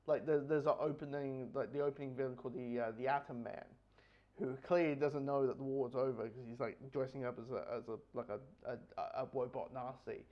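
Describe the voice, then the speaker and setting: A man's voice: mid-range voice, fairly even intonation, brisk. A man giving a casual spoken comic book review, rambling and repeating phrases as he goes. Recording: dull, lo-fi audio.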